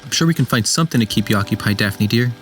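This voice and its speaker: A man's voice: lowish voice, animated, racing, fairly bright tone, soft background music. A man playing a tender, smitten robot mechanic and kindly uncle in a radio drama. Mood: gentle, kindly.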